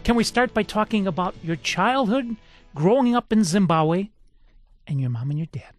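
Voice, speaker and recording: A man's voice: sits high, expressive, moderate pace, dry recording, faint music behind. A man hosting a radio interview show, introducing and questioning his guest with enthusiasm. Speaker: radio talk show host.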